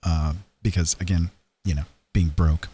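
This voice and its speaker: Husky, mellow man chatting casually. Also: low-pitched, fairly even intonation, a little slow. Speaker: livestream host. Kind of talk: casual livestream chat.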